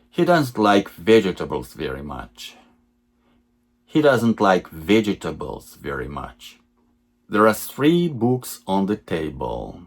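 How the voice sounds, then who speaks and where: Low-voiced guy reading practice sentences real slow, lively up-and-down pitch. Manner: measured, repeats each sentence for learners. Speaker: English teacher. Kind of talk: slow lesson reading example sentences twice.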